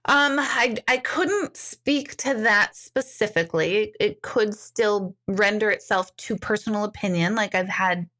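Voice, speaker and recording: A middle-aged woman talks casually to her viewers as an intuitive medium. She rambles from one comment to the next and laughs easily. Her voice sits at an ordinary middle pitch. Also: unhurried, hardly any pauses, pushed and bright. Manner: chatty, rambling, reads comments aloud, laughs often. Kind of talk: casual live-stream chat.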